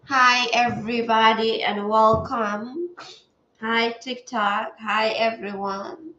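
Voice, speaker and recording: A woman's voice: fairly high pitch, takes it slowly, pushed and bright. A woman hosting a casual livestream, stuffy-nosed and chatty, greeting viewers as she talks. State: stuffy nose. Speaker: livestream host. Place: home setup at a table. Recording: echoey room, dull lo-fi sound.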